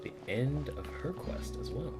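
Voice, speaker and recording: A game streamer who reacts excitedly and swears freely while playing. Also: medium pitch, a little slow. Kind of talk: reacting to a game and reading dialogue aloud. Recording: game music behind.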